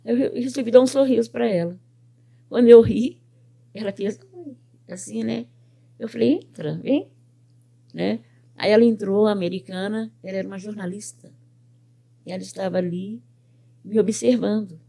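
An older woman from Brazil, a pet groomer and business owner, telling her life story in an interview with lively quoted speech. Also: medium pitch, moderate pace, gravelly, long pauses. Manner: animated storyteller who quotes other people's words.

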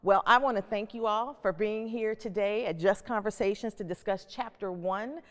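A middle-aged woman with a medium-pitched voice leads a reflective discussion. She is deliberate and emphatic, repeating phrases for weight. Her voice carries long hall reverb. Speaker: host and panel moderator.

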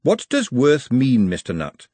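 A man's voice: medium pitch, wildly swooping pitch, unhurried, darkish tone, no room sound. A man voicing a firm, practical young woman who talks directly.

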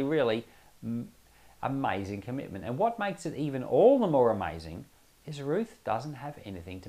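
Man, medium pitch, pastor, informal Bible podcast teaching, conversational, explanatory, emphatic repetition. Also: darkish tone.

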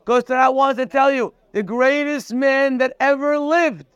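A man's voice: very high-pitched, steady tone, a little slow, a bit bright, clean tone, dry recording, dull audio. A man teaching a daily Torah class, preaching emphatically and speaking straight to the listener. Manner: emphatic, preachy, quotes Hebrew sources then translates; addresses listener directly, repeating "you".

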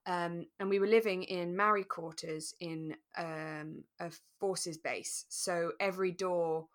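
Bright-voiced woman chatting casually. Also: fairly low pitch, fairly even intonation, takes it slowly. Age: 30s. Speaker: podcast co-host. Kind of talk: relaxed casual chat with personal anecdotes.

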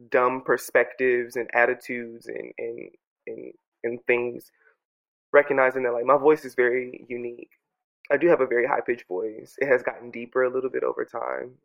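A congested man journaling aloud at home into his microphone, speaking softly and earnestly, circling back and restarting his sentences as he reflects. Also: mid-range voice, creaky, muffled recording. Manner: soft, earnest, musing with many restarts. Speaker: podcast host journaling aloud. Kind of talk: reflective personal audio journal. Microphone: own studio microphone.

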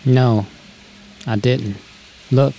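An actor playing a volatile, scheming frontier timber man, with an American accent and rough, folksy speech. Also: mid-range voice, steady tone, very slow, mellow. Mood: agitated. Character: volatile, hard-bitten frontier brother, a scheming timber claimant. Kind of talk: dramatic film dialogue reading.

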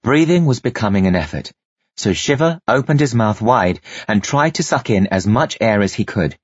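A man's voice: on the low side, lively intonation, normal speed, husky, no room sound, muffled recording. A man reading scripted story narration as an audiobook narrator, with dramatic delivery.

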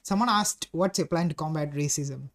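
A man's voice: on the high side, normal speed, fairly bright tone. An eighteen-year-old man with a Malaysian English accent, hosting a casual podcast chat, blunt and swearing freely. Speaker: podcast host.

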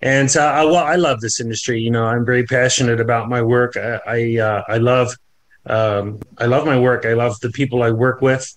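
A man from New Zealand speaks as a guest on a podcast, in a friendly way with fillers and repeated words. His voice sits at a medium pitch. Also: fairly even intonation, moderate pace. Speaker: company founder, podcast guest. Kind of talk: podcast interview.

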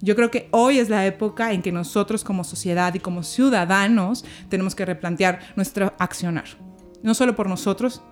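A young woman, a podcast guest speaking in a thoughtful, measured way and asking rhetorical questions. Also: mid-range voice, soft background music. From Peru. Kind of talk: reflective conversation.

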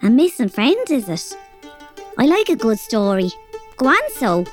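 A woman's voice: a little high, big pitch swings, measured pace, soft background music. An actress with an Irish accent playing a tough, bossy robber girl who also narrates. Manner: bossy, threatening, blunt. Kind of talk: audio drama acting.

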